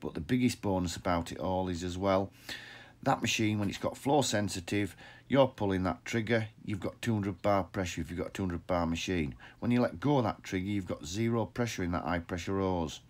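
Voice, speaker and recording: A man's voice: deep voice, brisk. A middle-aged man in a workshop, explaining machines plainly into a phone held close below his face, repeating words and restarting often. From England. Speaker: pressure washer business owner. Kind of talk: informal how-to explainer. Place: indoor workshop with equipment shelves. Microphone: phone camera held close below the face.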